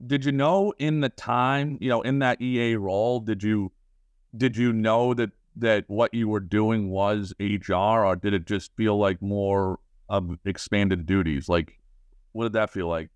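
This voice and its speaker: A man's voice: lowish voice, steady tone, unhurried, clear, resonant tone, no echo. A man co-hosting a podcast, asking casual, meandering questions and often repeating words as he goes. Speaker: podcast co-host, interviewer. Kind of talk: casual conversational interviewing.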